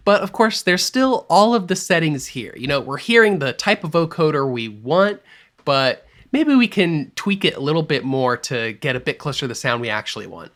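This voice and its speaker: A man teaching music production in a screen-recorded tutorial, explaining each step clearly and with upbeat energy. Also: fairly high pitch, fairly bright tone.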